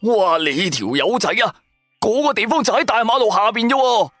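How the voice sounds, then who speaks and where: A man's voice: sits high, expressive, moderate pace, fairly bright tone, no echo, soft background music, voice pushed up. A man voicing a brash, loyal male buddy hungry for riches, exclamatory and slangy, in a radio drama. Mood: shocked. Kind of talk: Cantonese radio drama acting.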